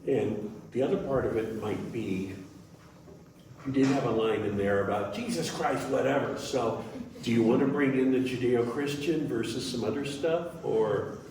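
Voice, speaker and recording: A man's voice: mid-range voice, normal speed, a little mellow. A man in an audience offering casual suggestions and feedback after a show. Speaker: audience member suggesting ideas. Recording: very echoey room, distant, faint noise.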